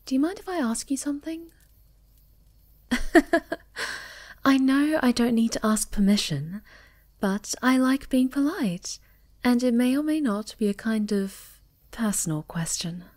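A woman playing a sweet, affectionate, polite moth monster girl in an intimate ASMR roleplay, gentle and often dropping toward a whisper. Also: a little high, animated, unhurried, pauses often. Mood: affectionate, playful. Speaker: voice actor in an audio roleplay.

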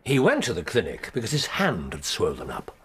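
An actor with an English accent playing a precise, dry-witted, commanding gentleman detective in a radio drama. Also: low-pitched, wildly swooping pitch, fairly quick. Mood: intent, grave.

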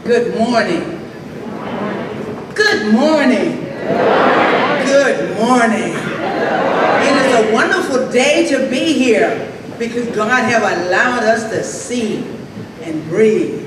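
A raspy woman reverend speaks very slowly and earnestly, her high voice ringing through a large hall. She is picked up from some distance at a podium, with a long echo and a light hiss behind her. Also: expressive. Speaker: reverend giving invocation. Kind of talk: prayer at a ceremony. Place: stage of a large hall at a graduation ceremony. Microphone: podium microphone. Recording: echoey hall, distant and faintly noisy.

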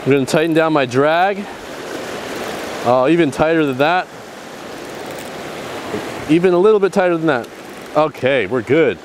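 Guy fishing off the rocks, all hyped up, kinda high voice, waves and wind faintly in the back. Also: normal speed, pauses often. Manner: excited, exclaiming. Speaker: fishing vlogger. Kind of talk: outdoor vlog narration. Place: rocky ocean shoreline outdoors. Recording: faint surf and wind noise.

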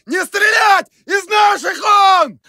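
An actor playing an alarmed Russian soldier in a war video game, barking short, urgent warnings in a frantic manner. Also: male voice, very high voice, takes it slowly, creaky, bright, forceful tone, no room sound, raised voice, clean studio sound, some chatter behind, heavily acted. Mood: angry, urgent. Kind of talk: shouted battlefield warning barks for a video game.

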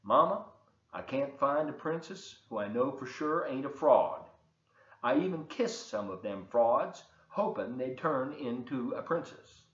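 A man's voice: medium pitch, lively intonation, unhurried, close to an everyday voice. Man reading as plaintive young country prince. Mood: dejected, plaintive. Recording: dull, roomy home webcam recording.

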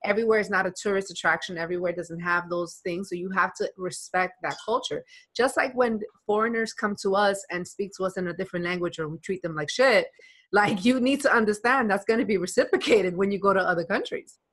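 A woman's voice: medium pitch, a little fast, fairly bright tone. An American woman hosting a podcast, speaking frankly and emphatically in casual conversation over a video call from a room at home. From United States. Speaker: podcast host.

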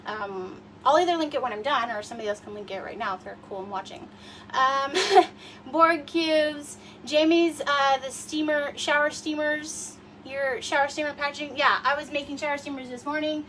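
A high-voiced woman in her forties chats her way through a livestream in her home kitchen, rambling with plenty of fillers. She sounds slightly sniffly. Her voice is a little distant from the phone, with faint room noise behind her. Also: fairly even intonation, normal speed, bright, forceful tone. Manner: chatty, rambling, many fillers. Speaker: livestream host. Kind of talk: casual livestream demo. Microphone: phone camera across the room.

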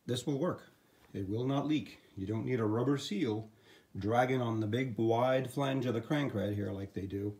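An older man explaining engine assembly step by step in a garage workshop. He sounds practical and instructive, with quick asides as he works. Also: mid-range voice, a little mellow. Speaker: engine builder. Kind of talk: hands-on workshop demonstration.

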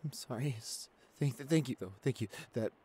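A man acts out a shy young boyfriend in an intimate audio roleplay. He is anxious and soft-spoken, stammering and hesitating as he talks, with a medium-pitched voice. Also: moderate pace, breathy edge, lightly acted. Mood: panicked, then shaky, grateful. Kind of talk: boyfriend ASMR roleplay acting.

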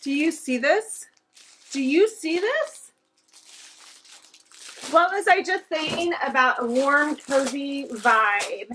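A woman in her thirties talks to the camera from her living room while she unboxes home decor. Her voice is bright and a little high, and she takes her time, gushing over each piece. The sound comes from a camera set across the room. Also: takes it slowly, long pauses, slight background noise, rough recording. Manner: chatty and enthusiastic, gushing over items. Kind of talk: casual unboxing chat to camera.

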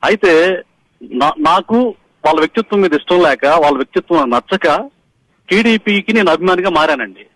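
A man's voice: sits high, expressive, moderate pace, pauses often, a bit bright, rough recording. A middle-aged man from Andhra Pradesh calling in by phone as a party polling agent, giving an urgent, emphatic account to the media. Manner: urgent, pressing, emphatic retelling. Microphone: phone call.

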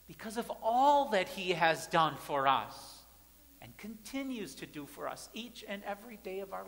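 A man in his thirties, a pastor, preaching at a pulpit in a large church sanctuary with emphatic, repeated phrases that swing between loud and soft. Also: extremely high pitch. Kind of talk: sermon. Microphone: pulpit mic, far camera. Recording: church hall reverb.

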